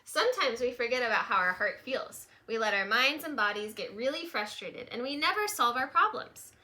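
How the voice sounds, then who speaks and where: A woman's voice: a little high, big pitch swings, moderate pace, bright, forceful tone. A woman voicing a caring, patient hare schoolteacher in a storybook, encouraging and instructing her class.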